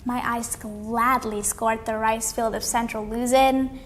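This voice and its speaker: A young woman reading a storybook aloud expressively, with deliberate, dramatic phrasing. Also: fairly high pitch, steady tone, big-room sound, slight background noise. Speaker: read-aloud competition contestant.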